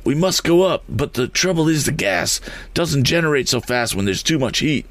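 A man's voice: on the high side, expressive, normal speed, fairly bright tone, dry recording, voice pushed up. A man voicing a seasoned balloonist who barks urgent orders during an emergency.

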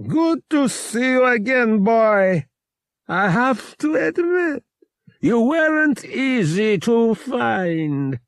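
A man's voice: very high voice, big pitch swings, very slow, no echo, studio quality, voice pushed up, full character voice. A man voicing an older bounty hunter captain in an audiobook, genial and drawling as he taunts. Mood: jovial menace.

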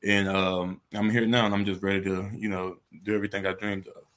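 A young American man with a fairly low voice answers interview questions casually. He talks candidly and conversationally, like a college athlete thinking out loud. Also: level tone, brisk. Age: 20s. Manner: candid and conversational, frequent "you know". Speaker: college football player being interviewed.

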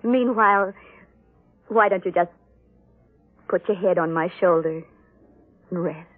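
An actress playing a sweet, soothing, devoted wife in a 1950s radio drama, with a cooing, attentive manner. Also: female voice, on the low side, a little slow, dry recording, soft background music. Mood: soothing, evasive. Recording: narrow-band vintage radio recording.

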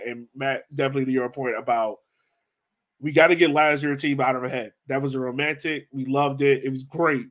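A man with a medium-pitched voice hosts a sports podcast. He talks informally, as in a relaxed chat about the team. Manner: informal, conversational. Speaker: podcast host. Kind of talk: casual sports discussion.

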